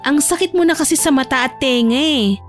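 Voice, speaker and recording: A woman's voice: high-pitched, brisk, soft background music, voice pushed up, close to an everyday voice. A woman voicing a sleepy, irritable young woman in a romance audio drama, whiny, grumbling and sarcastic.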